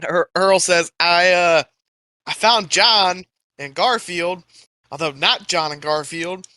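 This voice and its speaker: A man's voice: high-pitched, slow, sharp tone, no echo. A man playing a tabletop game, talking casually in a rambling way with heavy swearing. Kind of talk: casual in-character play.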